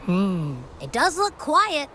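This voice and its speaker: A small, childlike game companion, curious and easily thrilled. The voice sits high and swoops freely, though the words come unhurried. There is clear noise behind it. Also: female voice, huge pitch swings, bright, forceful tone, full character voice. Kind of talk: video game voice acting.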